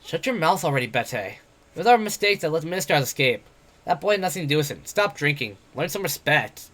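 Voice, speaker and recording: A man's voice: a little high, very animated, fast talker, fairly bright tone. Man reading as a stern elf woman. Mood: scolding. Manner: stern, scolding. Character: stern, proud female elf mage.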